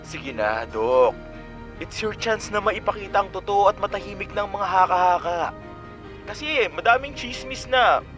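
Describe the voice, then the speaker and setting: An actor playing a pushy, flattering showbiz agent who coaxes in Taglish in a Filipino radio drama. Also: male voice, high voice, measured pace. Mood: coaxing. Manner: effusive, coaxing, mixes English into Tagalog. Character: pushy, flattering male showbiz talent agent, gossipy and slick. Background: faint background music.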